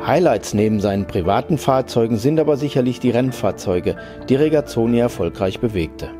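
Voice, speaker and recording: A man reading a scripted report voice-over in an even, measured way. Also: medium pitch. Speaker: narrator. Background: background music.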